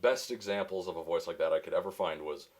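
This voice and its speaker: A voice actor with a very deep, resonant voice, answering questions in a casual interview with dry wit. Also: male voice, quick pace, darkish tone.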